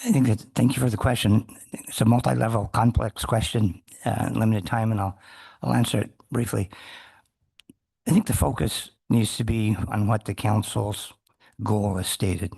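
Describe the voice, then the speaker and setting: Gravelly low man testifying formally. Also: steady tone, a little mellow. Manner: measured, formal, with restarts. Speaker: testifying fisherman. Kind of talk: public testimony. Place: council meeting room.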